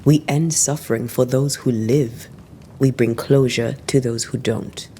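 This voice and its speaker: Stern female monster hunter for a game cutscene: mellow, unhurried, dry, faint rumble behind. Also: fairly even intonation. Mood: grave, firm. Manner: measured, solemn, dry. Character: stern, battle-weary 17th-century female ghost-hunter, devoted lover. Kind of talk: dramatic game cutscene acting.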